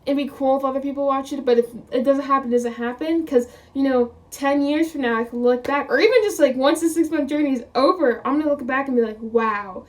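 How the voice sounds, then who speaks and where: A woman's voice: sits high, recorded from a distance. A woman of twenty talking casually to camera as a vlogger, rambling, quoting her own thoughts and laughing often. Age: 20s.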